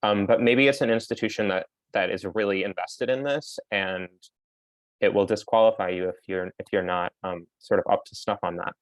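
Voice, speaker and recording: A man on a faculty panel giving thoughtful advice on job applications, pausing often with frequent ums. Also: on the low side, fairly even intonation, no room sound, poor audio.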